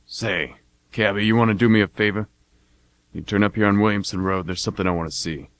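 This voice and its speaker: Man acting a low-voiced laconic army veteran. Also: fairly even intonation, a little fast, a bit bright, no room sound, lightly acted. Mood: casual, curious. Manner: laconic, slangy, conversational. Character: gruff male army veteran cab passenger, guardedly chummy. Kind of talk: amateur radio drama acting.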